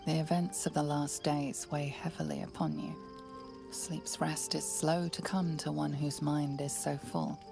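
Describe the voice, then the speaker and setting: Brief: woman narrator, very low and gravelly voice, ominous game narration, whispery asides, music behind. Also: level tone. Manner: slow ominous storytelling, dropping to a whisper. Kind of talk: scripted narration. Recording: game audio with music underneath.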